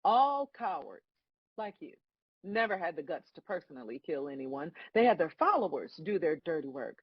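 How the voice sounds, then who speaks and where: A woman playing God as a stern, composed judge, delivering measured, scolding lines in a dramatic script read. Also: on the low side, sing-song, husky, no echo, muffled recording. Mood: scornful.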